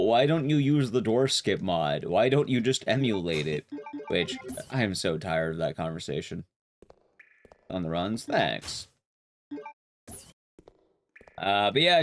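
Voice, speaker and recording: A young man with a loud, carrying voice chatting casually with his viewers while streaming a game, rambling and restarting sentences as he goes. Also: a little hiss or hum. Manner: rambling, restarts sentences, lively rises and drops in pitch. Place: his room at home, soundproofed.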